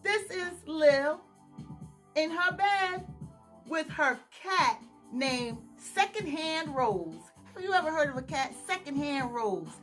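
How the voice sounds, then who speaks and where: A woman reads a picture book aloud to children in a very bright, high voice. She takes her time, stretching words in an exaggerated singsong and addressing the listeners with questions. Faint music plays behind her. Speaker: children's storytime host, author. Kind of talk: animated read-aloud storytelling to children.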